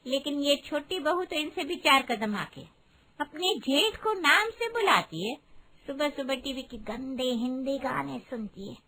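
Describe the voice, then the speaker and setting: A meddling middle-aged aunt acted by a woman from a cartoon morality tale. Her voice is high and very bright, with a piercing edge. She complains in a judgmental, scandalized way about everyone around her. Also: expressive, unhurried, exaggerated voice, rough recording. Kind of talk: Hindi moral-story cartoon voice acting.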